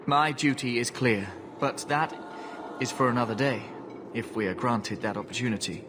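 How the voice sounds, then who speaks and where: An actor playing a stern, dutiful knight who distrusts mages in a fantasy video game, stiff and formal. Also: male voice, medium pitch, moderate pace, noisy background, lightly acted. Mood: grave. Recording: clear battle ambience behind voice.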